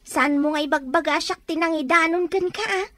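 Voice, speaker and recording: A woman playing an excitable, easily offended village gossip with an Ilocano accent in a comedic radio drama. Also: very high voice, fairly quick, no room sound.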